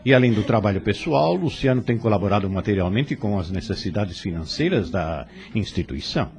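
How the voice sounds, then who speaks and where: A man's voice: on the low side, animated, a little fast, vocal fry, a little mellow. A Brazilian man playing a serene, fatherly spirit instructor in an audio drama, speaking formally and consolingly as he teaches. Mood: calm, instructive.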